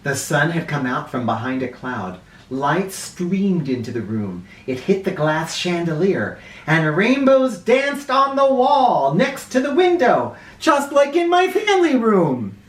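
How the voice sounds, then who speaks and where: A man reads a children's storybook aloud at an unhurried pace with lively, swinging intonation. He sounds a bit away from the mic in a very echoey room. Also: sits high, far from the mic. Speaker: children's read-aloud host. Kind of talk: animated storybook narration for children.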